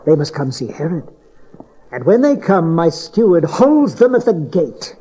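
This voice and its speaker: A man's voice: a little high, big pitch swings, unhurried, dark tone, breathy edge, exaggerated voice. An actor playing a scornful, suspicious, short-tempered king in a Bible audio drama, commanding and sardonic. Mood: scheming contempt. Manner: commanding, sardonic, clipped questioning. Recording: dull cassette tape audio drama.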